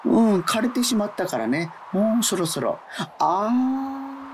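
A woman in a whimsical radio drama playing a dying withered leaf, a gently philosophical male character speaking in a theatrical, poetic manner. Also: medium pitch, very animated, unhurried, a little hiss or hum. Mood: wistful, resigned.

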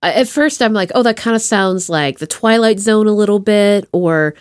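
A woman's voice: medium pitch, normal speed, clear, resonant tone, dry recording. A woman hosting a podcast, chatting casually as she interviews. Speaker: podcast host.

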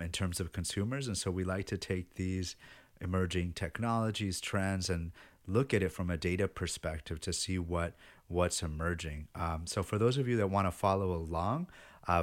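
Male podcast host, easygoing, filler-heavy, very low voice. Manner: relaxed, friendly, many fillers. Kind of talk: casual interview podcast.

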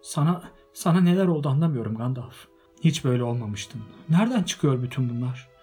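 A man voicing an elderly male hobbit who is possessive and touchy, with shrill outbursts and covetous whispers. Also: lively intonation, brisk, darkish tone, slightly rough, soft background music. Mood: shaken.

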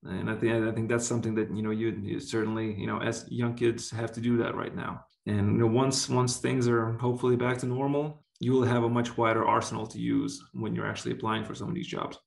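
A man's voice: mid-range voice, little pitch movement, brisk, creaky, hardly any pauses. A man from Lithuania giving casual, earnest interview answers as a guest, with a conversational and emphatic way of talking. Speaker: interview guest, basketball executive. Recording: roomy, echoey sound.

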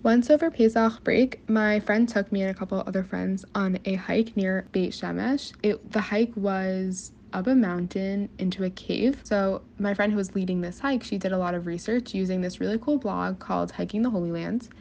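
Young woman, gravelly vocal fry, upbeat and excited. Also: mid-range voice, moderate pace, few pauses, a little hiss or hum. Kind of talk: casual anecdote.

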